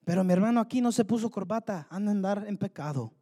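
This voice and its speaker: A man's voice: sits high, fast, mellow. A man preaching a sermon, fervently asking the congregation rhetorical questions. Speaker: pastor. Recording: long hall reverb.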